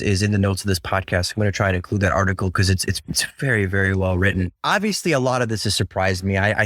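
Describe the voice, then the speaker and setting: A man's voice: on the low side, fairly bright tone, dry recording, clean studio sound. A Ukrainian-born man, a podcast guest, talking earnestly and often repeating words as he searches for the right phrasing. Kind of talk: conversational interview.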